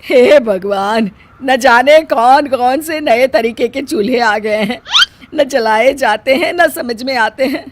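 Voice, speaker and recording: A feisty village mother-in-law, acted by a woman, with a somewhat high voice. She complains plaintively and expressively, in the style of a domestic audio storybook. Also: slow, background noise. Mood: grumbling.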